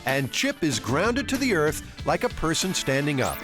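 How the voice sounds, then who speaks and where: A man's voice: a little high, wildly swooping pitch, moderate pace. A man narrating a science documentary in a playful, wry scripted voice. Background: music.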